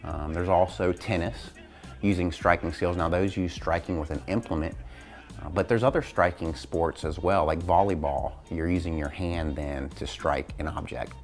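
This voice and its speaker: Low-voiced man presenting a school gym lesson. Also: steady tone, normal speed, faint music behind. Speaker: physical education teacher hosting a lesson video. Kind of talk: upbeat instructional video narration to students.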